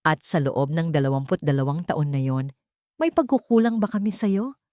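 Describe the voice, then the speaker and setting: A woman's voice: low voice, expressive, moderate pace, warm tone, no room sound, clean studio sound. A woman playing a stern, wealthy male boss who interrogates in a controlled, accusing way in an audio drama. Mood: cold, commanding.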